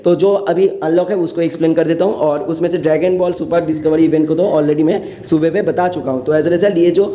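A man's voice: on the high side, steady tone, brisk, hardly any pauses, mellow. A young man with an Indian accent giving a casual gaming explainer as a host, repeating himself and mixing in English words.

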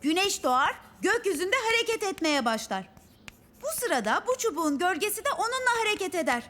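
Studious cartoon child: high, very animated, earnest explainer, over prominent music. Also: female voice, brisk, heavily acted. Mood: earnest, explaining. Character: clever, studious child explaining things patiently. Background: cartoon background music.

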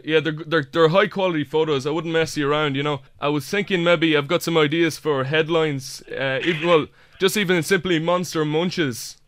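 A man's voice: on the high side, fairly even intonation, normal speed, few pauses, a bit bright, a little hiss or hum, lightly acted. An Irish man playing an earnest, hapless amateur paparazzo, rambling hesitantly through a prank phone call. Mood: eager, pitching. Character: earnest, hapless semi-professional paparazzo peddling photos. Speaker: prank caller.

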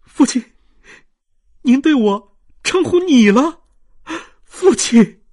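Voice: sits high, expressive, slow, darkish tone, pitched above the performer's own voice, exaggerated voice. A performer voicing an earnest, hurt young married woman in an audiobook, emotional and questioning as she pleads like a daughter. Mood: moved. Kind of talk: audiobook character reading.

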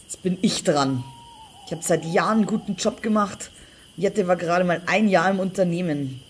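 An actress playing a resentful, scheming older sister in a German crime audio drama. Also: female voice, on the low side, normal speed, slight background noise, close to an everyday voice. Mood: bitter resentment. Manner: resentful, contemptuous. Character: bitter, scheming older sister plotting against her sibling.

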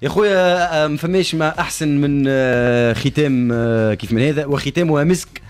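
A man's voice: on the high side, brisk, clear, resonant tone. A man with a Tunisian accent hosting a live radio talk show, mixing French words into his speech. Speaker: radio host. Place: radio studio. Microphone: close studio microphone on arm.

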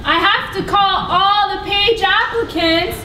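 A woman's voice: very high voice, a little slow, sharp tone. A woman performing as herself in a puppet show, flustered and hesitant with bursts of laughter. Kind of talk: amateur puppet show acting. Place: stage in a hall with an audience. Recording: echoey room from far off with noise.

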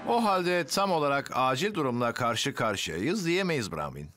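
Man as a reassuring, expressive cartoon firefighter. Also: mid-range voice, normal speed, loud music behind the voice, natural voice. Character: calm, reassuring male firefighter. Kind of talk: dubbed children's cartoon acting.

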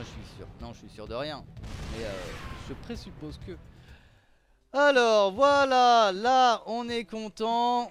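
A man's voice: sits high, fairly bright tone. A man hosting a gaming video with casual, rambling, playful commentary, often talking straight to the characters. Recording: music behind the voice. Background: game music.

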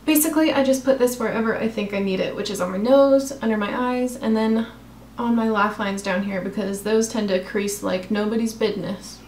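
A young woman, a makeup vlogger, chatting casually through a tutorial in her apartment, her voice a bit raspy, talking while she works. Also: medium pitch, brisk, darkish tone, reverberant, slight background noise. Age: young adult. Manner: chatty, self-deprecating, talks while applying makeup. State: slightly raspy voice. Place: small room in her apartment. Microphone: camera close in front of face.

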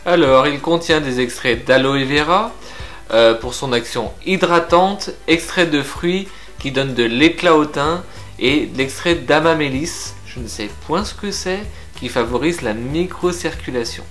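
A man's voice: on the high side, faint music behind. Chatty young man reviewing beard products. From France. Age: young adult. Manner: lively, frank, chatty with filler pauses. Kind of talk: casual product review to camera. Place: indoor room with shelves of figurines.

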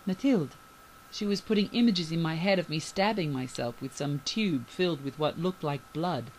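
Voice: medium pitch, moderate pace, a little hiss or hum, lightly acted, rough recording. A storyteller voicing a headstrong young woman, indignant and questioning. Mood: worried. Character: headstrong, worried young woman, scornful when angry.

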